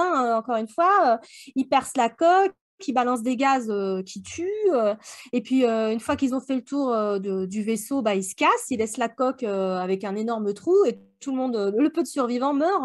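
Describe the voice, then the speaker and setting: A woman's voice: on the high side, a little mellow, no room sound, studio quality. A woman chatting casually as a co-host of a book discussion, blunt and full of slang. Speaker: book club podcast co-host. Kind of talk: casual discussion of an audio drama.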